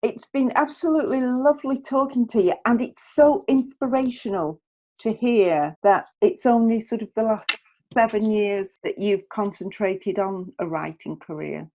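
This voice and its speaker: A woman's voice: medium pitch, normal speed, warm tone. A middle-aged woman hosting a podcast, speaking in a chatty presenting style. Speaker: podcast host.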